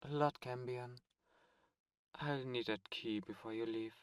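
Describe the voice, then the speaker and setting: A man's voice: mid-range voice, fairly even intonation, slow pace, clear, resonant tone, no echo, studio quality, close to an everyday voice. A man voicing a suave, cold male demon prince in an ASMR roleplay, speaking in a silky, condescending and menacing way. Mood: scornful. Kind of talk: ASMR roleplay audio drama.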